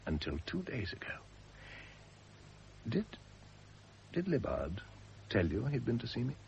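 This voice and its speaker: An actor in a radio drama playing a gentle, reflective condemned husband who faces death calmly and speaks tenderly. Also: male voice, medium pitch, unhurried, vocal fry, slight background noise, full character voice. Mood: earnest, consoling. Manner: literary, reflective, tender, with whispered drops. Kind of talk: classic radio drama acting.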